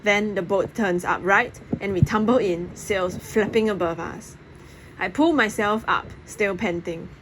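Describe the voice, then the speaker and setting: A woman's voice: medium pitch, measured pace, fairly bright tone, a little hiss or hum, rough recording. A woman reading a first-person story aloud, expressively and dramatically. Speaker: audiobook reader.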